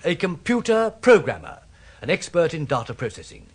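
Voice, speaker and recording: A hesitant British office boss from a radio play. His fairly high voice moves up and down in a lively way as he dithers and apologizes. It has a husky edge. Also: normal speed. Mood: apologetic, defensive. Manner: stammering, apologetic, dithering with repeated phrases. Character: hesitant, evasive male office boss breaking bad news. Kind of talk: British radio drama acting.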